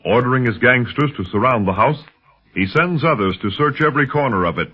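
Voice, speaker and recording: A man's voice: medium pitch, lively intonation, fairly quick, husky. A man narrating a 1930s radio adventure serial as its dramatic announcer. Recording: muffled 1930s radio recording with music.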